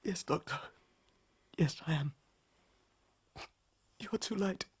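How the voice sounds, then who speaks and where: Bereaved young gentleman, radio drama, husky, slow, pleading, distraught. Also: on the high side, full character voice. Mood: broken grief. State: grieving, distraught. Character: grief-stricken young gentleman, broken and pleading. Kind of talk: period radio drama acting.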